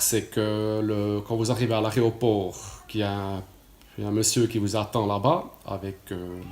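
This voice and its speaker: A man's voice: on the low side, gravelly, a little mellow, echoey room. A Swiss man in his late forties with a Swiss French accent, giving a casual testimonial, talking hesitantly with many fillers and restarts. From Switzerland. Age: 40s.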